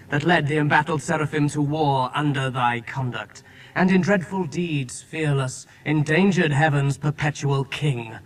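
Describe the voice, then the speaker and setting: An actor playing an anguished fallen angel, declaiming formal verse in a classical radio drama. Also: male voice, fairly high pitch, fairly bright tone, rough recording. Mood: grave, rueful. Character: Beelzebub, anguished fallen angel, proud yet despairing lieutenant.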